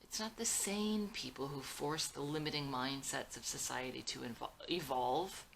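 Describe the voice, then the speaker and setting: A woman's voice: extremely low pitch, normal speed, gravelly, fairly bright tone, slight background noise, exaggerated voice. A woman voicing an earnest, idealistic and insistent young dreamer in a dramatic script reading. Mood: defiant.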